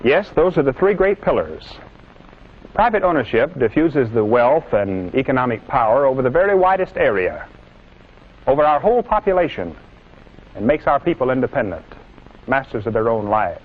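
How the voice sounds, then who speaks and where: A man's voice: mid-range voice, big pitch swings, normal speed. An American man delivering an earnest, didactic scripted lecture in the manner of a 1950s propaganda film, with emphatic oratory. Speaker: presenter lecturing on citizenship. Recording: dull lo-fi 1950s film soundtrack.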